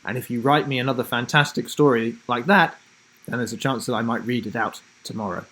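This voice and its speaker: A man chatting and reading a story to children as host of a live broadcast, speaking deliberately in short emphatic phrases. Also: medium pitch, a bit bright, rough recording. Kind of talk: friendly instructional chat and storybook reading for children.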